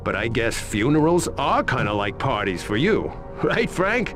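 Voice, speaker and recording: A man's voice: medium pitch, sing-song, moderate pace, fairly bright tone, soft background music. An actor playing a jumpy, sarcastic man who complains and wisecracks in a video game cutscene. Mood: sardonic.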